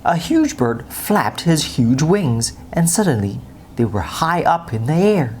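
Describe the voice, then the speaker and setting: A woman's voice: low voice, big pitch swings, unhurried, a little mellow, slight background noise. A woman reading a children's bedtime story aloud as storyteller.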